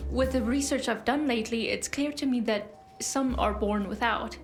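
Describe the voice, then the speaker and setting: Woman playing an articulate, high-voiced clinical scientist. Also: moderate pace, soft background music, lightly acted. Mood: explanatory. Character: coolly fascinated woman scientist-healer of a long-lived species. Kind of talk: audio drama acting.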